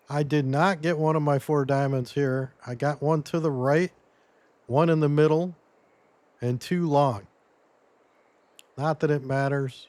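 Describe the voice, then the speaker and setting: A man losing his voice a little, giving a relaxed step-by-step gameplay tutorial as commentary. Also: mid-range voice, dry recording. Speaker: game guide creator.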